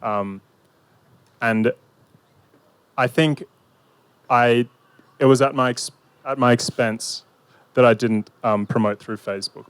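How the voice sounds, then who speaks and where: Smooth-voiced young man with a handheld mic. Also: mid-range voice, no room sound. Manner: thoughtful, many fillers and restarts. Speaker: facilitator. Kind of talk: hesitant panel discussion. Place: outdoor park pavilion.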